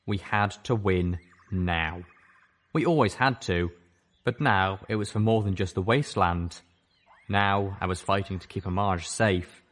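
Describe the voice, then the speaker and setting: A man reading a story aloud as first-person narration, expressive and dramatic. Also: deep voice, unhurried. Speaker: audiobook reader.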